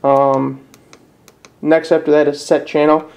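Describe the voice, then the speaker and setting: A man giving a casual step-by-step product tutorial in a plain, unpolished, slightly halting way. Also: fairly high pitch, flat delivery, a little mellow. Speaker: presenter of a how-to video.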